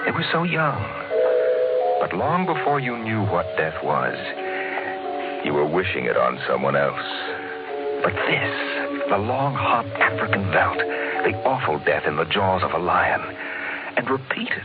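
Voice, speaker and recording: A man's voice: sits low, sing-song, a little slow, narrow, tinny audio. A man playing a brooding, dread-filled narrator in a scripted old-time radio drama, with theatrical delivery. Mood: haunted dread. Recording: thin old radio broadcast with music.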